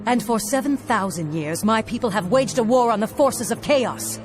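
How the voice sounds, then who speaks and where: An actress playing a proud, solemn elf war leader in a fantasy video game, speaking in a grave, formal manner. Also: female voice, fairly high pitch, lively intonation, moderate pace, lightly acted. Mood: grave resolve. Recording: music clearly behind the voice. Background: game music.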